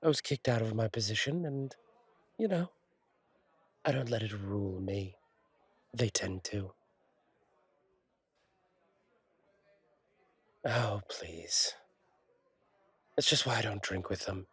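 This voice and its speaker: Man acting as fallen angel bartender, husky voice, dry close recording, wry, kindly, unhurried, audio roleplay. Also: on the low side, a bit bright, no echo, exaggerated voice. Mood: wry, candid. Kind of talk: intimate audio roleplay, one-sided conversation.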